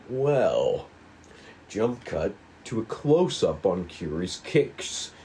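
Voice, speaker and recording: Slow, low-voiced man reading stage directions. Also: a little mellow, a little hiss or hum. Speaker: script reader.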